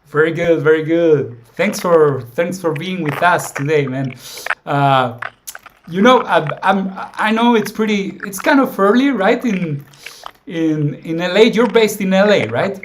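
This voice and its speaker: A man's voice: on the high side, lively intonation, a little hiss or hum, low-quality recording. A man hosting a casual interview, often restarting and repeating words as he frames his questions. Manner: halting, repeats words while framing questions. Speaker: interview host.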